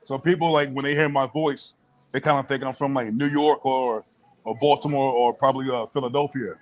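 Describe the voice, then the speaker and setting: A man's voice: medium pitch, a little fast. Laid-back man in his thirties. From Boston. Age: 30s. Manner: relaxed, laid-back, laughs easily. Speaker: podcast guest. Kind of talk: casual chat.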